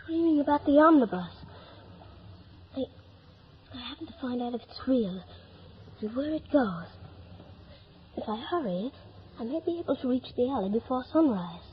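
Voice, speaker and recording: An eager, wide-eyed young boy speaks in a high, lively voice, polite and earnest. It is 1950s radio drama acting on a muffled, noisy old recording. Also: moderate pace, long pauses. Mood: excited, dreamy. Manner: earnest, breathless, questioning.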